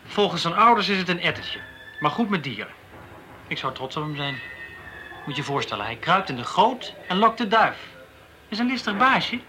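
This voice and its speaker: An actor playing a wisecracking, grumbling police detective in a radio drama, with an ironic, bantering delivery. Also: male voice, high-pitched, very animated, moderate pace, bright, forceful tone, soft background music, exaggerated voice. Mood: playful, ironic. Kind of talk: Dutch radio drama acting. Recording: radio play with faint background noise.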